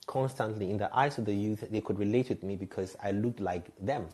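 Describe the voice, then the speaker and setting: Man, 30s, low warm voice; reflective, frank guest telling stories. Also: a little fast. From Ghana. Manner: reflective, frank, laughs lightly at himself. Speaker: podcast guest, radio and TV presenter. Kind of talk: candid conversational storytelling.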